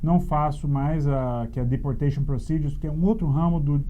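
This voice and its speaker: A middle-aged Brazilian man answering conversationally as a guest lawyer, explaining things in a halting way. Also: on the high side, steady tone, lo-fi sound, a little hiss or hum.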